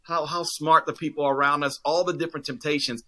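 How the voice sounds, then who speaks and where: A man's voice: on the high side, fairly even intonation, brisk, a bit bright. A man, a pastor, talking earnestly in a casual discussion, with many fillers and restarts.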